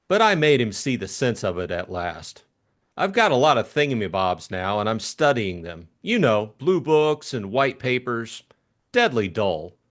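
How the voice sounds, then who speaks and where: Man voicing an eager, lilting young English toff. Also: mid-range voice. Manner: chummy, exclamatory, slangy. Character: eager, breezy young upper-class gentleman amateur sleuth.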